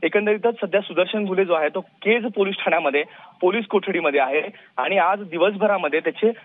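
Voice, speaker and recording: Tinny phone-line male reporter, high voice. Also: brisk. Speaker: news field reporter. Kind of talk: live unscripted news report. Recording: tinny phone-like live link.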